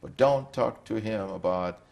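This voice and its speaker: Older guy, really low voice, thoughtful, stops and starts a lot while telling his story. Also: creaky, darkish tone. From Poland. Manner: reflective, halting storytelling. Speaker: interviewee. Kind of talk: recorded testimony.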